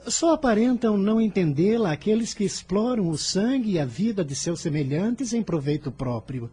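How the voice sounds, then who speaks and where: A man's voice: high voice, animated, normal speed, dark tone, breathy edge, exaggerated voice. A man playing an earnest, devoted adolescent son in a religious radio drama, speaking in a solemn, formal, impassioned way. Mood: indignant, impassioned.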